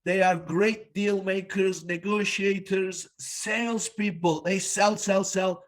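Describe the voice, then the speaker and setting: A man's voice: sits high, steady tone, slow. A man born in Turkey hosting a business interview podcast, talking emphatically and repeating words for stress. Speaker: podcast host.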